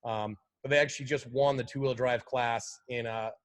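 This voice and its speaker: Easygoing rally driver, mid-pitched. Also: male voice, steady tone, normal speed. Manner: easygoing, lots of fillers like "like" and "you know". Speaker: guest, pro rally driver. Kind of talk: casual interview chat.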